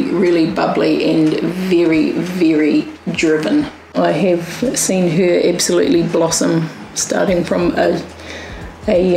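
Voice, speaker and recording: A woman with a low, gravelly voice speaks hesitantly, doubling words for emphasis. The room is echoey, with faint noise behind her. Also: darkish tone. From New Zealand. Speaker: support worker. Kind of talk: interview.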